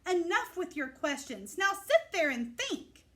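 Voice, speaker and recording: A woman reader puts on an exasperated storybook parent scolding a child. The voice is high, sharp and commanding, with firm, clipped orders. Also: very animated, a little slow, full character voice. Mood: stern. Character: frazzled, stern mother and father scolding their child. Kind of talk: storybook character voice.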